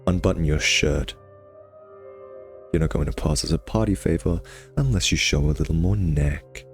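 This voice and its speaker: A man's voice: very deep voice, normal speed, soft background music. A man playing a cocky, sardonic vampire nemesis who teases and taunts the listener in an intimate ASMR roleplay. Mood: smug, teasing.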